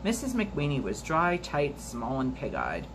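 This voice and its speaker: A woman reading a memoir aloud for a storytime video at a steady, measured pace, now and then stumbling and restarting a word. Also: sits low, background noise. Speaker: storytime reader.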